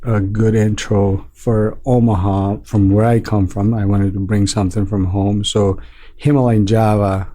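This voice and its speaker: A man from Nepal, a restaurant owner being interviewed, talking in a relaxed way with many fillers and restarts. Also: on the low side, a little slow. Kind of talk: casual interview talk.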